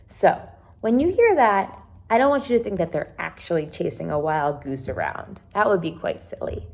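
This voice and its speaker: A woman's voice: lowish voice, sing-song, moderate pace, vocal fry, a little mellow. A woman teacher reading a children's book aloud and explaining it simply, with dramatic emphasis. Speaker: elementary teacher.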